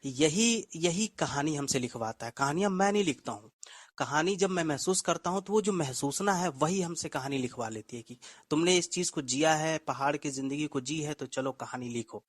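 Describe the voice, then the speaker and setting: Male writer and co-host: slightly high voice, effusive and courteous, poetic turns, dry sound. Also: moderate pace, no echo. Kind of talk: casual, appreciative show conversation.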